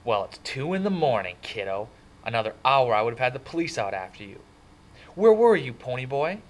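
A man reading a storybook, voicing a furious young man scolding his younger brother in loud, exasperated hollers. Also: medium pitch, big pitch swings, a little fast, pitched above the performer's own voice, close to an everyday voice. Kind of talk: dramatic audiobook character reading.

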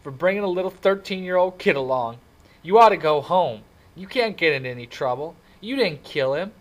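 A man's voice: mid-range voice, animated, moderate pace, voice pushed up. A man voicing a frightened, guilt-ridden teenage boy in an audiobook, with a strained, quavering, slangy delivery. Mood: miserable, guilty. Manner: anguished, blurting, slangy.

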